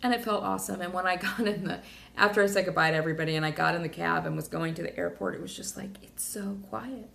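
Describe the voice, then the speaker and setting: A woman with a lowish, gravelly voice talks straight to camera in a loose, emotional video diary. She is a little hoarse and rambles through fillers and restarts. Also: fast, a bit bright, slight background noise. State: slightly hoarse. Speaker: vlogger. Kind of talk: casual personal video diary.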